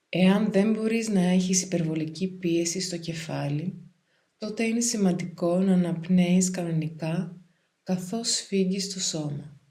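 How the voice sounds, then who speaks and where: Woman, slightly low voice, guided meditation, calm, soothing, measured pauses. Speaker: yoga nidra meditation guide.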